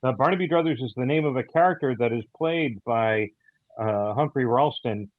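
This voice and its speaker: A man giving casual interview answers as a guest writer, in a halting way full of ums and pauses. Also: mid-range voice, dry recording, narrow, tinny audio. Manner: halting, filler-heavy delivery. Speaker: guest, audio theater writer.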